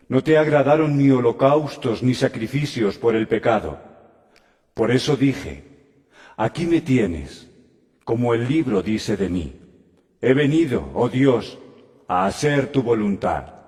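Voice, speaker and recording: An actor playing a solemn, devoted Christ addressing God in a dramatized audio Bible. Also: male voice, medium pitch, lively intonation, measured pace, a little mellow. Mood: solemn devotion. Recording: long cavernous reverb.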